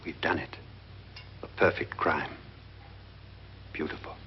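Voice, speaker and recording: An actor playing a self-assured murder plotter in a 1950s horror film, calm and conspiratorial. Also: male voice, extremely low pitch, slow pace. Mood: smug, conspiratorial. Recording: dull, noisy old film soundtrack.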